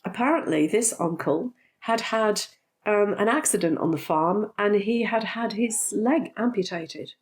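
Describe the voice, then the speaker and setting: A middle-aged woman talks straight to her viewers in a relaxed, candid way, pausing on frequent ums. Her voice is stuffy and congested from a recent cold. Her pitch sits in an ordinary middle range. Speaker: spiritual teacher and vlogger. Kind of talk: casual talk to camera.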